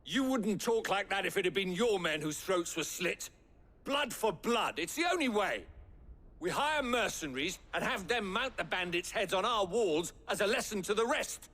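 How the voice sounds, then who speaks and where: An angry vengeful villager from a fantasy game, high sharp voice, combative. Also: male voice, lively intonation, moderate pace, in a large hall, heavily acted. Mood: furious. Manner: combative, forceful. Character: vengeful, hot-headed male townsman. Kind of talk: heated game cutscene acting.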